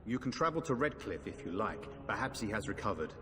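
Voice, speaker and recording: A man playing an earnest, dispirited knight in a fantasy game, speaking in a formal, measured, grave way. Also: fairly low pitch, very animated. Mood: courteous, resigned. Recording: long hall reverb, faint music behind.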